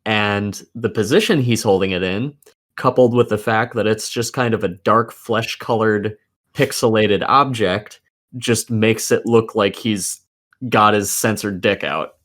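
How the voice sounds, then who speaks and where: Male gaming co-host, fairly low register, opinionated and foul-mouthed in casual discussion. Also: measured pace, fairly bright tone. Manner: profane, opinionated. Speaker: podcast co-host. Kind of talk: casual video game discussion.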